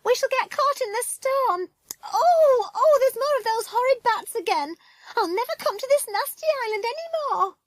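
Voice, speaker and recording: A panicky, fretful woman day-tripper from a children's storybook. Her voice is very high and shrill, swooping into wails and shrieks. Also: wildly swooping pitch, moderate pace, few pauses, no room sound, heavily acted. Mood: fretful, frightened.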